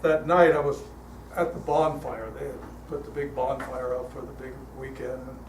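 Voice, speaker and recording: An older man speaking as a guest at a church, telling stories in a relaxed, chatty way with frequent fillers, his voice calm. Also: medium pitch, normal speed. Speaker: guest speaker leading a guided meditation. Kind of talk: storytelling talk to a congregation. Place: church hall. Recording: echoey hall, heard from a distance, noisy.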